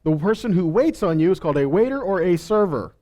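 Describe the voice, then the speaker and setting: A man's voice: sits high, animated, fast talker, mellow. A man giving an informal sermon as a Bible teacher, reading verses and commenting conversationally with rhetorical questions and imagined replies.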